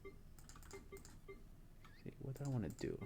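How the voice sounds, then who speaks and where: A man with a very low voice chatting on a live stream while gaming, rambling and hesitant with many fillers. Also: wildly swooping pitch, unhurried, gravelly, very noisy. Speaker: game streamer. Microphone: desk mic.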